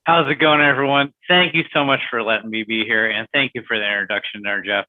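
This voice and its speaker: Quick-talking man on a tinny call. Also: medium pitch, steady tone, dry recording. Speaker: sales rep. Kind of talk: casual webinar greeting. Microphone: video call. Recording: dry tinny call audio.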